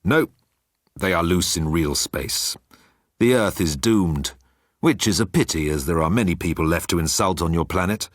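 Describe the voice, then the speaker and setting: Man playing low, bored immortal alien. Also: moderate pace, no room sound, clean studio sound. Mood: bored disdain. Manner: disdainful, world-weary.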